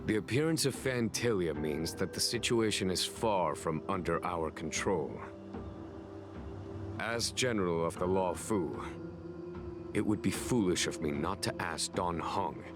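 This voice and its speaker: A deep-voiced formal general. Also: male voice. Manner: formal, measured, courtly. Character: calm male general addressing allies. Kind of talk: video game voice acting. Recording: game audio over music.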